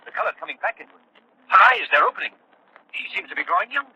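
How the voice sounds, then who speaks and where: A man's voice: sits high, expressive, very fast, bright, forceful tone, highs cut off, full character voice. Piercing, astonished radio melodrama witness, male. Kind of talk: 1930s radio melodrama acting. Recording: scratchy, band-limited old radio recording.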